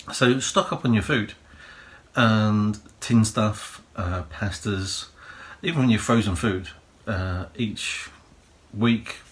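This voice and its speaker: A man with a croaky voice, hosting a prepping channel and giving rambling practical advice full of fillers and "you know"s. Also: fairly low pitch, fairly bright tone. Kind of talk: casual advice monologue.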